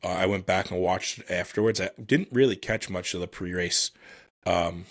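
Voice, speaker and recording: A man hosting a solo podcast, casually commenting on motorsport in a halting, think-aloud, self-deprecating way. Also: low voice, no echo, studio quality. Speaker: podcast host.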